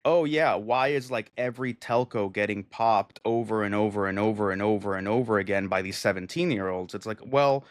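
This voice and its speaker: A man's voice: fairly low pitch, dry recording, studio quality. A man co-hosting a podcast, talking in a rambling, profane, argumentative way. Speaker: podcast co-host. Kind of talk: casual chat.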